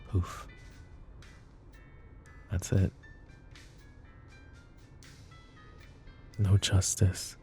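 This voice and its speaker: Mafia boss, played by a male actor: very low, dark, coaxing and possessive, for intimate ASMR roleplay. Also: exaggerated voice. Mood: gentle, intense. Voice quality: low, gravelly murmur. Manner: deliberate, coaxing, philosophizing.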